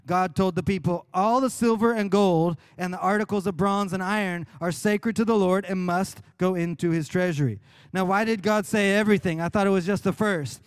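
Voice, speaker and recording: A man's voice: sits high, smooth, dry recording. A male pastor preaching a sermon in an earnest, explanatory way. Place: church.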